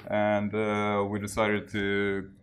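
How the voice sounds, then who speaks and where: A man from Slovenia, a band member, relaxed and telling stories in a group interview at a music venue. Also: on the low side, slow, a little hiss or hum. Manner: relaxed, storytelling. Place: empty music venue indoors.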